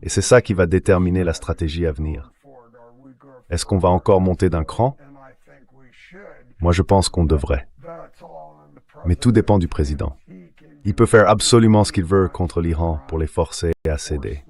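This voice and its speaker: A man's voice: deep voice, normal speed, long pauses, a little mellow. A man giving assertive, confident analysis as a guest in a news interview, heard in French voice-over. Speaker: retired admiral, television news guest.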